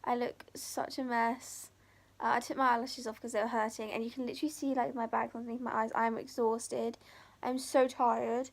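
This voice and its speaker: A teenage girl chatting casually to camera for her vlog, voice croaky from a sore throat, full of "like" and "um". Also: a little high, little pitch movement, moderate pace. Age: teens. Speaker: vlogger.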